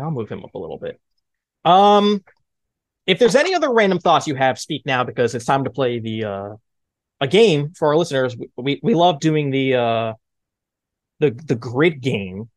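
A man in his thirties hosts a casual sports talk show. His delivery is animated, with a very mobile, expressive pitch. The voice sits at an ordinary male register in a dry space. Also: no room sound. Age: 30s. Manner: animated, mimics exclaimed reactions. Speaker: sports podcast host. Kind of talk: casual sports debate chat.

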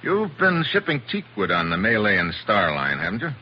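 Low-voiced, wry secret agent. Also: male voice, huge pitch swings, moderate pace. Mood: sardonic, guarded. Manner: casual, sardonic, slangy. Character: wry, tough male special agent hero. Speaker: lead actor of adventure series. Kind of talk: 1940s radio acting. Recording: muffled, noisy 1940s radio recording.

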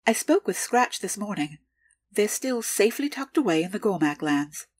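A woman playing a calculating, deferential underworld information broker in an audio drama, with formal, measured, precise delivery. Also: medium pitch, big pitch swings, darkish tone, husky, no room sound. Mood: businesslike.

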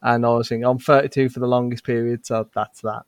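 A man's voice: mid-range voice, level tone, fast talker, dry recording. Thirties guy, chatty and self-mocking, dry close sound. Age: 30s. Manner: chatty, self-deprecating, laughing. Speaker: nursery practitioner, guest.

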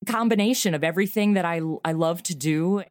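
A middle-aged woman with a fairly low voice talks conversationally as an interview guest. She explains things with enthusiasm, and the sound is dry, with very little room in it. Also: brisk, no room sound. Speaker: interview guest, comedy writer and voice performer. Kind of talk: conversational interview about own work.

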